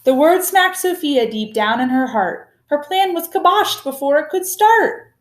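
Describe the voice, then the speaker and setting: A woman reads a children's picture book aloud expressively, with a measured, rhythmic delivery of rhyming verse. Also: high-pitched, lively intonation.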